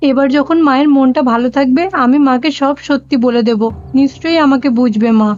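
A woman's voice: high voice, brisk, a little mellow, dull audio, close to an everyday voice. A woman playing a timid, forgetful young daughter-in-law in a cartoon, earnest and pleading with her elder. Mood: worried. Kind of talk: cartoon voice acting.

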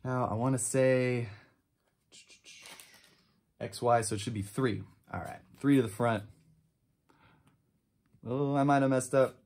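A man in his twenties giving casual, enthusiastic pack-opening commentary, chatty and quick to drop short exclamations. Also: mid-range voice, steady tone, darkish tone. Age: 20s. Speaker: trading card channel host. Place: indoor room with shelves of collectibles.

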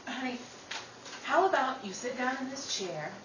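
A woman's voice: high voice, expressive, moderate pace. A woman playing a soothing, skeptical older sister in an old-time radio drama. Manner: coaxing, calls others honey. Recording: roomy, distant, clearly noisy recording.

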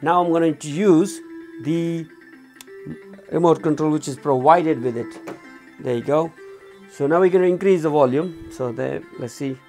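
A man with a slightly high voice and plenty of vocal fry talks through a product in a small room. He is casual and unscripted, often repeating himself to explain. Faint music can sit behind him. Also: moderate pace, long pauses, darkish tone. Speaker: product reviewer. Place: small indoor room at a desk.

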